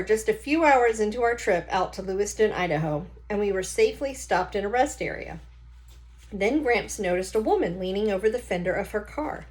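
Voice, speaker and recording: Woman reading a novel aloud. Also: mid-range voice. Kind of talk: storybook read-aloud with explanatory asides.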